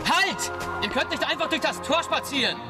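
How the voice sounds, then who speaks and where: A brisk, decent lieutenant commands the gate in a high voice that swings widely. It is radio drama acting, with music behind. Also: male voice, animated. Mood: stern challenge.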